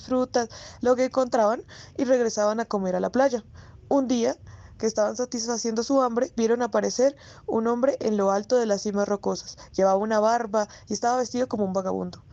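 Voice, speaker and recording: A woman plays a talkative boarding-house landlady who chides politely and spins family tales in a radio drama. The voice is fairly high and soft-edged, recorded very dry. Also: no echo, close to an everyday voice. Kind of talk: radio theatre storytelling. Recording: dull, dry, close recording.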